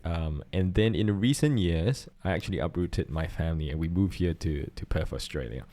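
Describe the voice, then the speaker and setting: Low-voiced Singaporean man, earnest. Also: a little fast, dark tone. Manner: earnest, thinks aloud with restarts. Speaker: podcast co-host. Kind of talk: casual chat.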